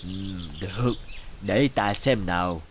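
A man voicing a wise, kindly but stern old father in a fairy-tale cartoon, slow and measured. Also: lowish voice, background noise. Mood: patient. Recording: music behind the voice.

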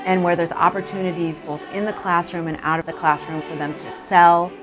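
Low-voiced woman in a promotional testimonial. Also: flat delivery, fairly quick. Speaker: university admissions staff member. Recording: music behind the voice.

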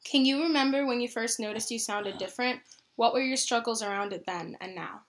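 A woman's voice: on the high side, a little fast. A woman interviewer reading out prepared questions to her subject.

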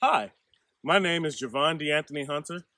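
Bright-voiced man introducing himself. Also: fairly high pitch, expressive, normal speed, dry recording. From Mississippi, USA. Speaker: PhD student. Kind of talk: scripted self-introduction. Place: outdoors by a creek.

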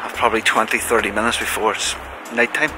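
A man vlogging a road trip in a relaxed, musing way, with soft chuckles, talking outdoors on a coast. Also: fairly low pitch, quick pace, background noise. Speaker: travel vlogger. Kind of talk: casual vlog narration. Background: loud music.

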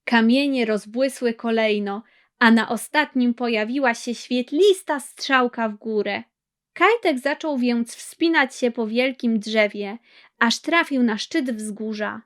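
A woman narrating a scripted children's fairy tale in a measured storytelling manner. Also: fairly high pitch. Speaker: audiobook narrator. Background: plucked guitar music.

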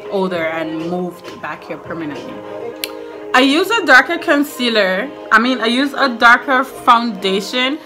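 A woman's voice: mid-range voice, rough recording. A woman in her twenties from Trinidad chatting casually to the camera as she does her makeup. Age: 20s. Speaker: beauty vlogger. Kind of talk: casual chat to camera while doing makeup. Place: small indoor room with curtains and couch. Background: music playing.

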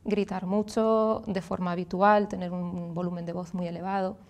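A woman in her thirties with a Galician Spanish accent, a speech therapist explaining clearly and patiently as a guest in a TV studio. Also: fairly low pitch, level tone, moderate pace, darkish tone. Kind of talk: explanatory interview answers.

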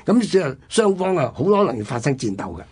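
Husky higher-pitched Hong Kong man. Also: very animated, quick pace, darkish tone. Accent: Hong Kong Cantonese. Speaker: radio co-host. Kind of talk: conversational history storytelling.

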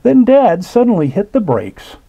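A man reads a children's picture book aloud, taking his time and stopping to comment on the pictures. His voice has a gravelly, husky edge. It swoops up and down with lots of expression. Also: sits high, very animated, moderate pace, warm tone. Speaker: storybook reader. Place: indoors at a table.

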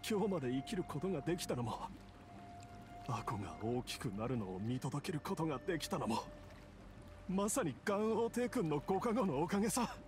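A man's voice: a little high, big pitch swings, brisk. Earnest, emotive, resigned young man. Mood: resigned, sorrowful. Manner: earnest, wistful, heartfelt. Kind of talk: Japanese anime game acting. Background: background music.

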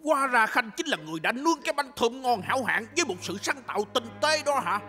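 Pompous, bright-voiced gluttonous dwarf king. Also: extremely high pitch, very animated, exaggerated voice. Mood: grandly flattering. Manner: grandiose, drawn-out, imperious. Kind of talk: dubbed children's cartoon acting. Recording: hall reverb, faint music behind.

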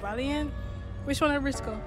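A woman's voice: sits high, moderate pace, soft background music. A young woman with a Jamaican accent sharing blunt, confident opinions in a street interview. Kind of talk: casual street vox pop. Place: outdoor city street.

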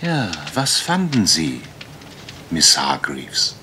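A man acting a suave, theatrical gentleman detective in a German radio mystery play, courteous and pompous in his delivery. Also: low-pitched, wildly swooping pitch, slow, noisy background, heavily acted. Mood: attentive, probing.

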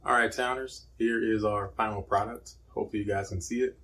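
Low-voiced man, relaxed rambling cooking host. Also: fairly quick. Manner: relaxed, rambling, filler-heavy explaining. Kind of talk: casual cooking voice-over and taste test. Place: indoor home set with plain backdrop.

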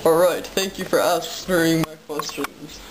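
A woman's voice: low voice, steady tone, normal speed. A young woman making prank phone calls, asking her questions with mock-polite formality. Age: young adult. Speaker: prank caller. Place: small room at home, indoors. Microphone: camera microphone a short distance away. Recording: roomy, noisy home camera recording.

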